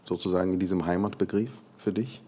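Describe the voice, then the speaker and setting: Male moderator, low dark voice, short questions, off-mic with faint noise. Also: steady tone, fast. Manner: brief guiding questions. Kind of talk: panel discussion.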